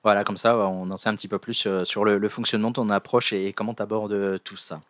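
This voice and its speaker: A man hosting a radio show, guiding the interview with brisk questions. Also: fairly low pitch, level tone, fairly bright tone, dry recording.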